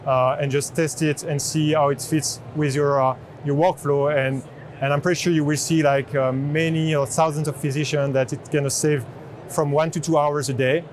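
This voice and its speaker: A man with a slightly high voice presents a product off the cuff. He explains earnestly, often pausing and repeating words. There is noticeable exhibition hall noise behind him. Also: level tone. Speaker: chief product officer giving a product demo. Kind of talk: spontaneous product demo presentation. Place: indoor trade show booth in large exhibition hall. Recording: busy convention hall background noise.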